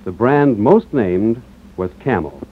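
Solemn old-time radio ad guy, declamatory, expressive pitch, sounds phone-thin. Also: slow. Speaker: announcer. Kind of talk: 1940s radio ad read. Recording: tinny, lightly noisy old radio broadcast.

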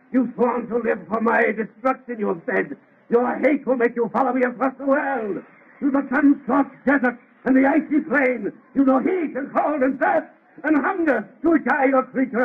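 Raspy, high-pitched vengeful monster. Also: male voice, full character voice. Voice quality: raspy, gravelly. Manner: grandiose, declamatory. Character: vengeful, mocking monster made by a scientist, bitter and theatrical. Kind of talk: 1940s-style radio horror acting. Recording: muffled lo-fi old radio recording.

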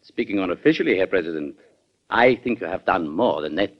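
An actor playing a worldly, cynical German ambassador with a German accent and a formal, measured manner, in 1940s radio drama. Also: male voice, deep voice, animated. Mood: formal, conceding. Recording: dull vintage radio broadcast.

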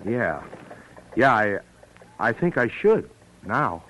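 A man's voice: medium pitch, big pitch swings, takes it slowly. An actor playing a nervous, shy man in a classic radio drama, hesitant and stammering. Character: nervous, shy, halting lovelorn male friend. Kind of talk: 1940s-50s radio drama acting. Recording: noisy old radio recording.

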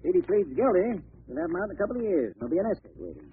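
An actor playing a polite, persuasive go-between for gangsters in an old radio crime drama. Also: male voice, high-pitched, huge pitch swings, rapid-fire, husky, full character voice. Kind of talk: 1940s radio acting. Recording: tinny, telephone-like old radio recording.

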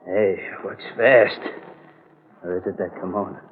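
Low gruff gunman, muffled radio. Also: fairly even intonation, a little slow. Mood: woozy, smitten. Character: tough gunman, suspicious but easily seduced. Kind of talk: old-time radio drama acting. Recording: muffled vintage radio, faint noise.

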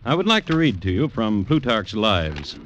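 A male narrator presents history as dramatic radio documentary. His voice sits fairly low and moves through a lively range of pitch. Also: moderate pace, lo-fi sound.

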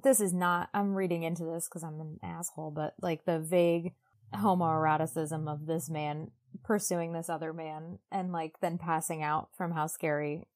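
A woman's voice: low-pitched, fairly even intonation, moderate pace, darkish tone. A woman co-hosting a podcast in casual conversation, irreverent and sweary, jokingly acting out imagined quotes. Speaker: podcast co-host. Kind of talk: casual chat, book discussion podcast.

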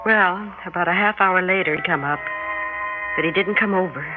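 An actress playing a middle-aged American wife and mother in an old radio drama, apologetic but quietly sure of herself, hesitant and earnest. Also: on the low side, normal speed, close to an everyday voice. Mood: reflective. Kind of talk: old-time radio drama acting, first-person narration. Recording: thin phone-like vintage radio sound, music behind.

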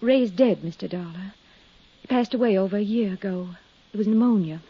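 An actress playing a young widow in a 1950s radio drama, fragile and gracious, effusive and eager to please. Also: female voice, medium pitch, a little fast. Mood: quietly sad. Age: young adult. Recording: dull, slightly husky-sounding 1950s radio recording.

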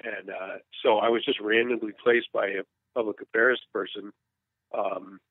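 A low-voiced man in his fifties speaks frankly and earnestly as a guest in an interview. He tells his story in halting bursts and restarts his sentences often. The sound is thin and phone-like, with no room echo at all. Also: steady tone. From United States. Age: 50s. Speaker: war journalist guest. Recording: tinny phone-line sound, dry.

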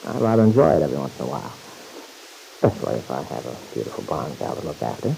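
Folksy teasing son, gravelly vintage radio. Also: male voice, a bass voice, very animated, heavily acted. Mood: eager, hopeful. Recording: hissy old radio broadcast recording.

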